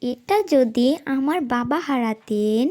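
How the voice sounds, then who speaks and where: A woman voicing an honest, gentle little schoolgirl in an Islamic moral cartoon, speaking earnestly and politely. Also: a little high. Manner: earnest, polite, slow and deliberate.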